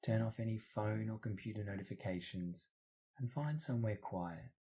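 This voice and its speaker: A man with a fairly low voice and a very mellow, relaxed tone leads a guided meditation. He reads scripted instructions in a soothing way, guiding the listener step by step. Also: normal speed.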